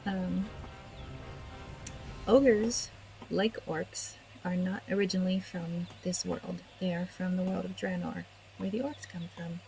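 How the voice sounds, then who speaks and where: A woman's voice: on the low side, fairly even intonation, takes it slowly, vocal fry, warm tone, rough recording. A woman let's player giving casual video game commentary with hesitant, musing asides. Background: faint game music.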